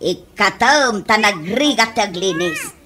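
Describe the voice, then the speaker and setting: A woman's voice: mid-range voice, expressive, slow, background noise. A woman playing a nagging, poor Ilocano housewife in a comedy radio drama, with an Ilocano accent and scolding, exclamatory delivery.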